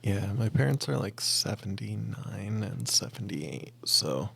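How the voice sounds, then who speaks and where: A man in his late thirties co-hosting a podcast, reacting in a relaxed, amused way during casual chat. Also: on the low side, measured pace, gravelly, husky. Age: late 30s. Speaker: podcast co-host.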